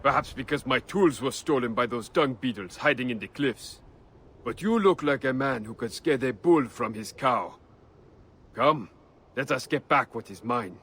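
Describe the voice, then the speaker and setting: A man's voice: medium pitch, expressive, moderate pace, a bit bright, husky. A man playing a crude, boastful village blacksmith in an ancient Egyptian video game scene. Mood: blustering, vengeful. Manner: coarse, salty, blustering. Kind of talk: video game cutscene acting.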